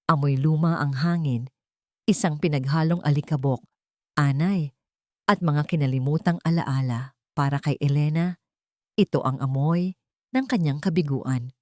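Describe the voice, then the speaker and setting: Low-voiced woman narrating a dramatic story. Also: moderate pace, pauses often, darkish tone, no room sound, studio quality.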